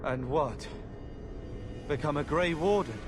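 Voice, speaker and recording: A man's voice: fairly high pitch, slow pace, over music. An actor playing an ailing, bitter young man in a video game, weak and despairing as he talks. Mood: bitter sarcasm. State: weak and sickly. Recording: noisy game ambience.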